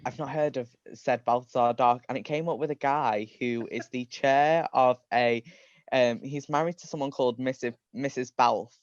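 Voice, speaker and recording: A man's voice: mid-range voice, steady tone, moderate pace, dry recording. Man reading aloud, dull close-up sound. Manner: often breaks into giggles. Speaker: reader. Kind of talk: reading comedic fan fiction aloud on a livestream.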